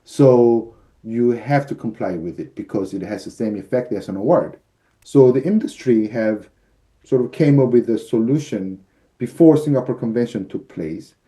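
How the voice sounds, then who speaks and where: A man's voice: mid-range voice, lively intonation, normal speed, mellow. A man in his fifties from the United States, a guest arbitrator explaining his field over a video call from an office, with frequent fillers. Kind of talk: explanatory interview answers. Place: office with shelves, indoors. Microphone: video call webcam.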